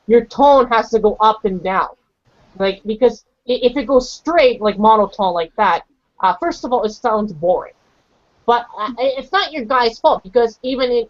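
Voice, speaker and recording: A man's voice: high voice, dry recording. A young man teaching English in a casual online group lesson, chatty and joking, with frequent restarts, speaking into a handheld mic at home. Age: young adult. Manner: chatty, lots of restarts and "you know", jokey. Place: small home room with bookshelves. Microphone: handheld mic held close on a video call. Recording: lo-fi video-call audio.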